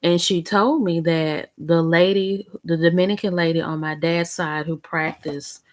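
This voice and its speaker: A woman's voice: fairly low pitch, steady tone, a little slow. A young woman vlogger chatting casually to the camera in a rambling, conversational way. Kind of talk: casual chat.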